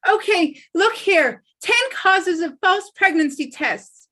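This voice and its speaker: A woman's voice: very high-pitched, expressive, takes it slowly, pushed and bright, heavily acted. A woman acting an earnest, disbelieving young woman in a comedy drama table read, insistent and flustered.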